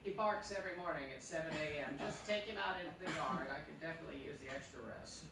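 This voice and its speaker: A man playing a fussy, self-assured, gently bossy master of the house in a live stage radio play. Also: fairly high pitch, fast talker, gravelly. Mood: unruffled. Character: genial but bossy, unflappable man of the house. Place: theatre stage, live performance. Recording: echoey distant stage, background noise.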